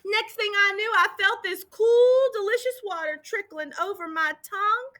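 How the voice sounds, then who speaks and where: A woman's voice: very high-pitched, moderate pace, bright, forceful tone, voice pushed up. A woman voicing a boastful, dim-witted cowboy sheriff in folksy American Old West dialect, telling a tale with exaggerated drawling delivery. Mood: folksy storytelling.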